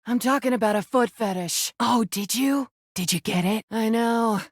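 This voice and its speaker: A woman's voice: on the high side, moderate pace, slightly rough, dry recording, clean studio sound. A woman voicing a crude, flirty, mocking rubber duck come to life in a video game. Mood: cheeky, flirty. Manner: sassy, mocking, combative, suggestive. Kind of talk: video game character dialogue.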